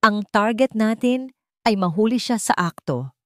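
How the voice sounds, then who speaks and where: A woman's voice: mid-range voice, expressive, a little slow, fairly bright tone, no room sound, clean studio sound, pitched above the performer's own voice. A Filipino woman voicing a determined billionaire man in a story, speaking coldly and firmly with command. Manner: cold, firm, commanding. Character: determined, commanding male billionaire hero setting a trap.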